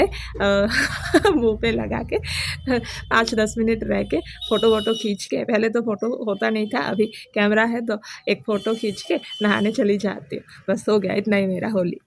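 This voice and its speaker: A woman's voice: mid-range voice, vocal fry, slight background noise. A woman vlogger with a sore throat, chatting casually to camera, hesitating often with fillers. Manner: relaxed, hesitant, many fillers. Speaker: vlogger and teacher.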